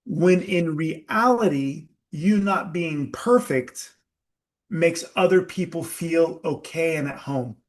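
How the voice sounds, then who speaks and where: A man leading a live group workshop, talking slowly and emphatically and putting questions to his audience. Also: a little high. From United States. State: sweating. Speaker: workshop leader.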